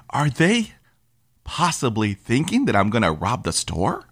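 Slow-talking man with a stutter. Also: mid-range voice, wildly swooping pitch, fairly bright tone, slightly rough. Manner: stutters, laughs often, drops into whispers. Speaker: podcast host who stutters. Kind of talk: personal storytelling podcast.

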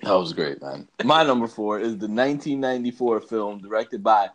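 Low-voiced man in casual slangy chat. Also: expressive, moderate pace. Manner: slangy, says bro and man often. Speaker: podcast co-host. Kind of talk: casual opinionated movie chat.

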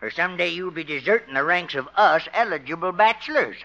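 An actor playing a jovial, joking small-town veterinarian and bachelor pal in a 1950s radio comedy. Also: male voice, high-pitched, lively intonation, fairly quick, sharp tone, full character voice. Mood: jovial, teasing. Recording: muffled, hissy old radio recording.

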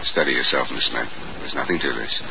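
An actor playing a cool, wry amateur sleuth in the dry, plain-spoken manner of 1940s radio drama. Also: male voice, a bass voice, brisk, creaky. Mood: calm, reassuring. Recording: muffled, noisy old radio recording.

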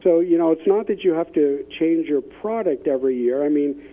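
A man explaining ideas conversationally as an interview guest, with halting phrasing and repeated words, over a phone-like line. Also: fairly high pitch, expressive. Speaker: interview guest, business innovation consultant. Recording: tinny, band-limited phone-like line.

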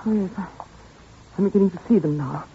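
An actress playing a frightened young governess, trapped by a killer, pleading breathlessly in an old radio drama. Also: female voice, fairly low pitch, fast, husky. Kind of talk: 1940s radio acting. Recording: tinny, thin old radio recording.

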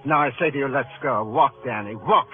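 A man acting a twisted, murderous villain in a 1950s radio crime drama, speaking in clipped, deliberate, precise phrases. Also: mid-range voice, lightly acted. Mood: cold menace. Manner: clipped, deliberate, menacing orders. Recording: noisy, tinny old radio drama recording.

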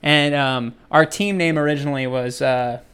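A man's voice: fairly high pitch, steady tone, fairly bright tone, clear, resonant tone. A man co-hosting a podcast in casual chat, telling rambling stories full of restarts. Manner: rambling storyteller, halting restarts. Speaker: podcast co-host.

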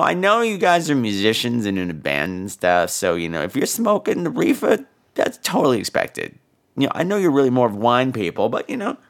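A man with a creaky voice and extremely animated pitch hosts a casual, rambling podcast. He sounds unwell with a fever, yet he is theatrical and teasing in his delivery. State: feverish, ill with flu and laryngitis. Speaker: podcast host. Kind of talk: casual, rambling solo chat responding to callers.

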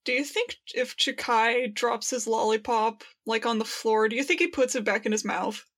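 A woman's voice: a little high, moderate pace, fairly bright tone. A woman co-hosting a casual fan podcast, joking in a playful, mock-grandiose way. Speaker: podcast co-host.

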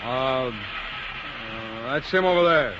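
A man's voice: mid-range voice, expressive, slow pace. An actor playing an alert, terse fireman calling out on the fire line in a 1950s radio drama. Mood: helpful. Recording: muffled, very noisy 1950s radio recording.

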